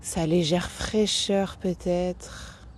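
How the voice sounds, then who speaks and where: A woman guiding a yoga nidra meditation as a teacher, speaking calmly and gently with long pauses between phrases. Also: fairly low pitch, a little hiss or hum. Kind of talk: guided meditation, soothing instruction.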